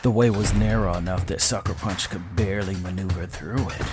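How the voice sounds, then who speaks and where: A man's voice: low voice, steady tone, fairly quick. A man reading scripted, dramatic science fiction narration as a storyteller, with music under his voice.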